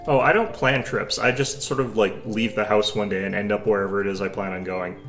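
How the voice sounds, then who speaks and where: A man's voice: fairly low pitch, fairly even intonation, fairly quick, fairly bright tone, faint music behind. A young man streaming, chatting casually with his viewers in a rambling way, full of fillers and restarts.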